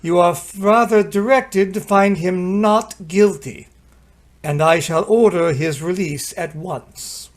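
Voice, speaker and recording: A man's voice: sits high, slow pace, slight background noise. A man playing a formal, authoritative judge in a radio drama. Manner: formal, declamatory. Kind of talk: old-time radio drama acting.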